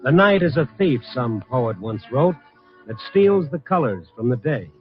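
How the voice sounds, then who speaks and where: A man's voice: medium pitch, very animated, moderate pace, close to an everyday voice. Muffled old-radio hard-boiled American newsman, played by an actor. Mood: brooding, wry. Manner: wry, streetwise, dramatic storytelling. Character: wry, hard-boiled male newspaper night-beat columnist. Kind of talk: 1950s radio drama narration. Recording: muffled vintage radio recording, music underneath.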